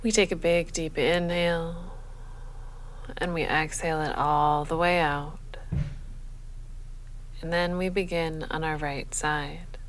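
A woman leading a guided sleep meditation as a yoga nidra guide, speaking in a measured, soothing, unhurried manner. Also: deep voice. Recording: faint steady background noise.

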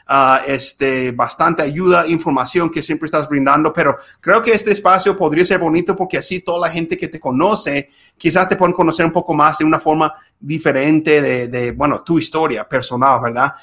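Relaxed American man hosting a podcast. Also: fairly high pitch, moderate pace, few pauses. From United States. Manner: friendly, relaxed questioning with many fillers. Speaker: podcast host. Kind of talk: casual interview.

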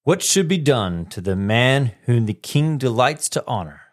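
A man's voice: medium pitch, lively intonation, unhurried, natural voice. A man playing a generous king in a school radio skit, reading formal lines. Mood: regal. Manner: formal, declaiming.

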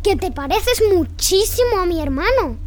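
Radio play: inquisitive little girl, exclamatory and wide-eyed, very high voice. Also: lively intonation, normal speed, a little hiss or hum. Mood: puzzled, protesting. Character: Micaela, curious spirited little girl. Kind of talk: children's radio play acting.

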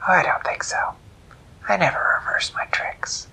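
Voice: normal speed, sharp tone, close to an everyday voice, low-quality recording. Storyteller doing a smug whispery jackal trickster. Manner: smug, teasing.

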